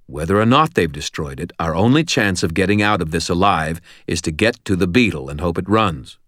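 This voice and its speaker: A man voicing a calm, grimly resolute man in an audiobook, speaking quietly and in a measured way. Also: deep voice, dry recording, studio quality. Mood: grim resolve. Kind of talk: audiobook character acting.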